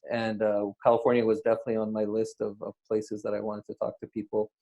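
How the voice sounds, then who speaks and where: Low, mellow-voiced male podcast host. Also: completely flat delivery, quick pace, dull audio. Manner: hesitant, many fillers. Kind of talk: interview. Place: remote call.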